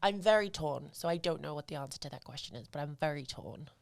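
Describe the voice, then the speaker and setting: Low, gravelly woman guest chatting. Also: fast. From Zimbabwe. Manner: chatty, many "like" and "you know what I mean" fillers. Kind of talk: casual interview chat.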